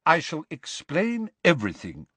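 A man's voice: wildly swooping pitch, sharp tone, slightly rough, no echo, heavily acted. A man voicing a grave old wizard. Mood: calm. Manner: low, measured, grave. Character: old wise male headmaster, calm and commanding.

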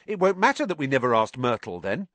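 A man voicing a blunt, excitable teenage boy who talks fast and pushily. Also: mid-range voice, dry recording, pitched above the performer's own voice. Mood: eager. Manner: quick, pushy.

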